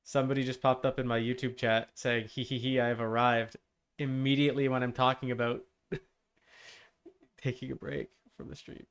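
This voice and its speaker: A man chatting casually and rambling as he streams a video game, with an animated, amused manner. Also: medium pitch, normal speed, clean tone. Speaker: live streamer. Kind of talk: casual gaming stream chat. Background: video game music.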